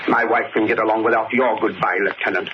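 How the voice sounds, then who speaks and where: An actor playing a jealous, haunted husband in an overwrought old radio melodrama. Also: male voice, lowish voice, steady tone, fast talker, natural voice. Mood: menacing, angry. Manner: overwrought, theatrical. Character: jealous, haunted husband tormented by a cursed mask. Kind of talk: 1930s radio melodrama acting. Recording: muffled, noisy old radio recording.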